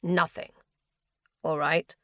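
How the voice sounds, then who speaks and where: A woman's voice: sits low, flat delivery, slow pace, fairly bright tone, no room sound. A woman voicing a stern, protective man in an audiobook story, with a terse, firm way of speaking.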